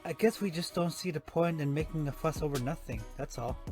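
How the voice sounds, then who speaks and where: A woman voicing a dry, easygoing young office worker with a deadpan manner in a cartoon comedy dub, with music behind. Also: low voice, fairly quick, natural voice. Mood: casual. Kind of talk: animated comedy comic dub.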